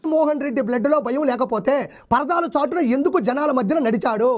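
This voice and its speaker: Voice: high voice, fairly quick, soft background music. A political commentator delivering mocking rhetorical questions in a troll video. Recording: clearly noisy, clicky recording.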